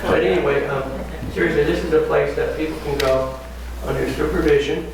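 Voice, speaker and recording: Raspy male mayor, formal meeting address, very echoey room, noisy recording. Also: fairly high pitch, steady tone, a little fast. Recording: echoey room, distant mic, clear noise.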